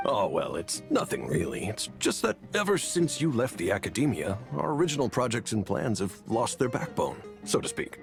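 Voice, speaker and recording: A smooth, persuasive professor, with a low, expressive voice. He coaxes and flatters while game music plays clearly behind him. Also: normal speed, hardly any pauses, exaggerated voice. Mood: coaxing. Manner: coaxing, smooth talker. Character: persuasive male academic professor.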